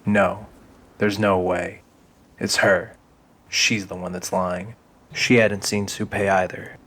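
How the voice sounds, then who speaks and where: Low-pitched male drama narrator. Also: little pitch movement, slow pace, a bit bright. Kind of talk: scripted audio drama narration.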